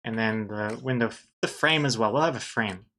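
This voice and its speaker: A man's voice: fairly low pitch, fairly quick, pushed and bright. A man in his thirties, a livestreaming artist talking casually to chat while he draws, chatty and self-mocking. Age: 30s. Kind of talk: casual commentary while drawing on stream.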